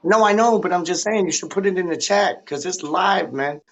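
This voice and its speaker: A man with a Canadian accent chatting on a live stream, animated and slangy, often repeating himself. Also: sits high, moderate pace, dull audio. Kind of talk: casual live-stream chat.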